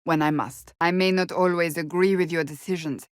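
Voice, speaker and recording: A woman's voice: fairly low pitch, steady tone, normal speed, no room sound, clean studio sound, close to an everyday voice. An actress voicing a stern, proud, devout warrior woman in a fantasy video game, with formal, clipped, earnest delivery. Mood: earnest, measured. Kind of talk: dramatic fantasy game dialogue.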